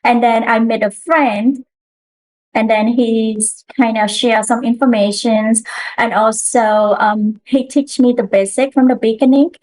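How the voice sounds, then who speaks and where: Woman, fairly high voice, earnest storyteller, trips over herself and restarts. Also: steady tone, measured pace, darkish tone, poor audio. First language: Khmer. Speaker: interview guest, trader. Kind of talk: casual interview.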